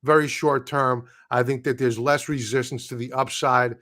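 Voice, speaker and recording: A man hosting a live market stream, talking through charts in a blunt, opinionated way with casual slang, his voice going hoarse and breaking into throat-clearing. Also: medium pitch, fairly even intonation, normal speed, fairly bright tone. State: losing his voice.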